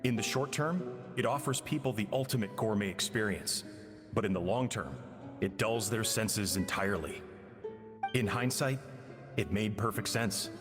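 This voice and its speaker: An actor playing a remorseful man in a game, recounting his jealous past in a solemn, measured dramatic monologue. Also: lowish voice, natural voice. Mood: solemn reflection. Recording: long reverb, music underneath.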